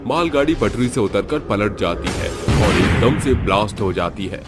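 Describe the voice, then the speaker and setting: A man's voice: lowish voice, normal speed, loud music behind the voice. A man narrating a scripted horror cartoon story in a dramatic storytelling style.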